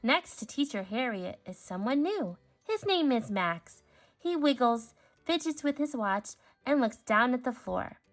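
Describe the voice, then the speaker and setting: A woman narrates a children's storybook in a fairly high voice. Her reading is expressive and clearly phrased for young listeners, with music playing behind her. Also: measured pace. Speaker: storybook reader.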